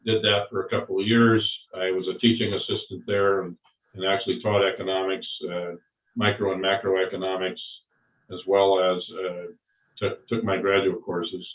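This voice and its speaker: An older man recalling his service and career in an interview, talking slowly with many pauses and fillers. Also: low-pitched, little pitch movement. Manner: slow, halting, many fillers. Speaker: interviewee, Vietnam veteran. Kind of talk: oral history interview. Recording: far off, roomy, phone-like.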